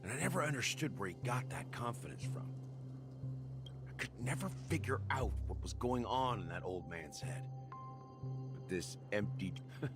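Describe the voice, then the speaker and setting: A man's voice: fairly low pitch, lively intonation, moderate pace, long pauses. A man voicing a sly, world-weary game character with a sardonic, drawling manner. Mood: wry. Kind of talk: video game voice acting. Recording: game dialogue under clear music.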